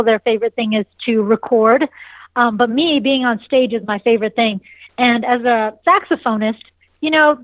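A woman in her forties talks with a nasal, slightly high voice, bubbly and quick to laugh. She sounds like she is on a tinny phone line, dry and close. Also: no echo. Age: 40s. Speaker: singer and saxophonist. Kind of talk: casual interview answers.

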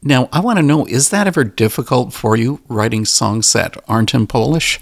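A man interviewing a musician, asking measured, prepared questions. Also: medium pitch, vocal fry.

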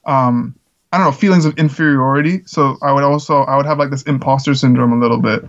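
A man's voice: mid-range voice, fairly even intonation, fast talker, dull audio. A man who grew up in the Czech Republic, a musician guest talking earnestly in a casual interview, with lots of fillers. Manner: earnest, rambling with frequent fillers.

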